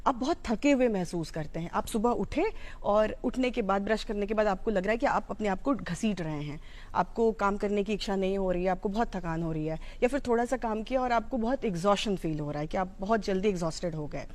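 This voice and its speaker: Mellow-voiced Indian woman explaining. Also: mid-range voice, a little fast. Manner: explanatory, code-mixing Hindi with English terms. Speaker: psychology educator. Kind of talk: informal explanatory talk on mental health.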